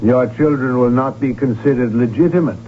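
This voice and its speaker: A man's voice: mid-range voice, normal speed, soft background music, lightly acted. Stiff pedantic imperial chamberlain, acted by a man. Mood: cold, official. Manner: formal, precise, pedantic. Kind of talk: 1970s radio drama acting. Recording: lo-fi radio recording with faint hum.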